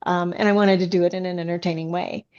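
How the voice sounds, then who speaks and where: A woman's voice: fairly low pitch, steady tone, fast, a little mellow, dull audio. A woman author answering questions casually as a guest on a podcast.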